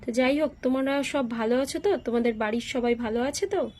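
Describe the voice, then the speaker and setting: A woman's voice: high-pitched, fast, darkish tone. A woman voicing a weary, friendly elder brother in a homemade doll story. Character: weary, friendly elder brother who lost his house.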